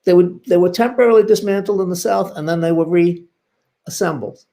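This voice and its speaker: Older male panelist, slightly high voice, emphatic explainer who drives points home by repetition. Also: normal speed, vocal fry, warm tone. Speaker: panelist, history commentator. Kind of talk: spoken panel discussion, explanatory.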